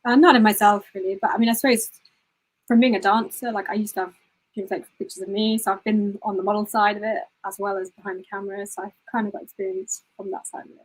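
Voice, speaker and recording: A fast-talking woman with a breathy, slightly husky voice. She talks in a loose and chatty way and often says "you know." She sounds like a guest on a video call. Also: medium pitch, fairly even intonation, poor audio. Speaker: guest photographer. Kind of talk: casual interview answers.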